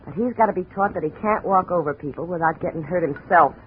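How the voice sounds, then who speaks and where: A woman's voice: lowish voice, fast, natural voice. A woman playing a tough, sardonic American burlesque performer with a grudge, in a 1950s radio detective drama. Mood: bitter. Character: brassy, jaded female burlesque performer, bitter scorned girlfriend. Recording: dull, hissy old radio recording.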